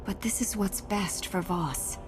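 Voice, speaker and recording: A principled young heroine played by a woman in a science-fantasy game. She is mid-pitched, sincere and plain-spoken, with music clearly playing behind her. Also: measured pace, lightly acted. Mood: earnest.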